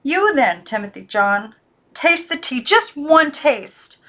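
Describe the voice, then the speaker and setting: A woman voicing a frightened young girl who coaxes, then desperately shouts at her dolls. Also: high-pitched, sing-song, slow pace, pitched above the performer's own voice, full character voice. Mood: frantic. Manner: coaxing, then frantic and shouting.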